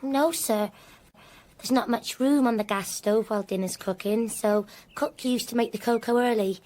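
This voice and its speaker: Young female maid role for an actress, radio play: timid, respectful, slightly anxious, lightly high-pitched, eager to please. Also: normal speed, mellow, close to an everyday voice. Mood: anxious, deferential. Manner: deferential, anxious, simple. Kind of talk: radio drama acting.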